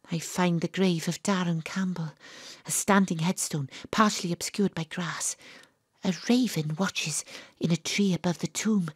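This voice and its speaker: A woman playing a gruff, dogged private detective who tells her own case in a dramatic, atmospheric audio drama delivery. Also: lowish voice, no room sound, clean studio sound. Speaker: lead actress and narrator. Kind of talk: first-person audio drama narration.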